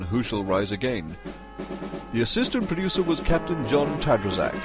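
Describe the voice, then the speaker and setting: Guy doing a self-important announcer, formal, deadpan jokes, swinging intonation, audio comedy. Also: medium pitch, natural voice. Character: smooth, wry, self-important male show announcer. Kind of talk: announcing, comic audio drama.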